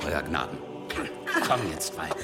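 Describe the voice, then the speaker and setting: Curt henchman guard, extremely varied pitch, rough manner, loud music underneath. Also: very animated, a little slow, exaggerated voice. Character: obedient, rough guard serving the provost. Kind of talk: period radio drama acting.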